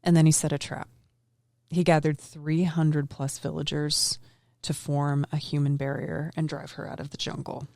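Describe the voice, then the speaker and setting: Woman storyteller, gravelly tone, casual and self-deprecating, dry close mic. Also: deep voice, little pitch movement, moderate pace, darkish tone, no room sound. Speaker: guest storyteller.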